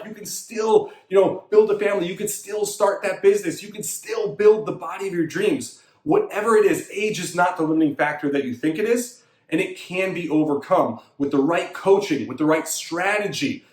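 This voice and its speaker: Thirties male fitness coach, high voice, emphatic repetitive pep talk, echoey room. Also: darkish tone. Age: 30s. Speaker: fitness coach and show host. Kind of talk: motivational monologue.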